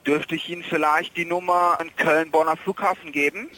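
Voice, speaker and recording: A man's voice: on the high side, level tone, fairly quick, pushed and bright, rough recording. A man working as an airline phone agent, speaking politely and hesitantly on a service call.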